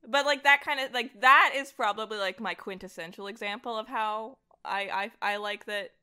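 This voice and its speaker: A woman's voice: mid-range voice, normal speed, bright, forceful tone, clean tone. A woman talking as a podcast guest in an informal, enthusiastic conversation. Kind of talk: casual chat.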